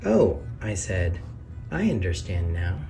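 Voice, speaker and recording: Slow, mellow man reading a picture book. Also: very animated, vocal fry, recorded from a distance, soft background music. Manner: sing-song, lifts pitch on the questions and the big reveals. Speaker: read-aloud narrator. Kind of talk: children's picture book read-aloud. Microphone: camera over the book, hands on pages.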